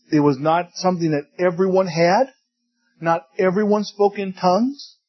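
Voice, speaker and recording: Guy preaching a laid-back sermon, kinda husky, muffled lo-fi recording. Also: a little high. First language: English. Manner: conversational, asks the audience questions, jokes in passing. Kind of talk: teaching sermon. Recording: dull lo-fi recording.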